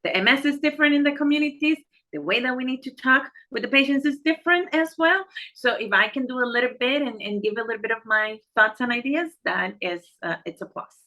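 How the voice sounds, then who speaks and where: Casting: middle-aged Puerto Rican-accented woman, Spanish native, earnest conversational interviewee who doubles back on words, very bright and forward voice. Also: high-pitched, fairly quick, highs cut off. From Puerto Rico. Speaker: interview guest, neurologist and medical director. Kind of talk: conversational interview answers about life and work.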